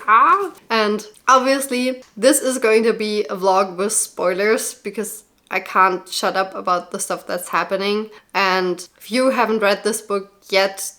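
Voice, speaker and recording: A young woman in casual vlog talk, gushing and excitable with frequent fillers, still getting over a cold. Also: mid-range voice. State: recovering from a cold. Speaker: book vlogger.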